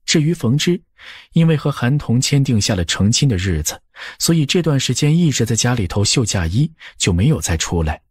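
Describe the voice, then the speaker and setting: A man reads scripted audiobook narration in a husky voice of medium pitch. His intonation moves widely, and the sound is dry and close. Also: very animated, fairly quick, no echo.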